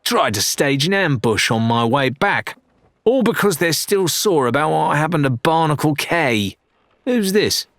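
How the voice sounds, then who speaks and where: Man voicing a brash young woman pirate captain, pitched up. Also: expressive, unhurried, a bit bright, no room sound, clean studio sound. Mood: scornful. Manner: haughty, challenging.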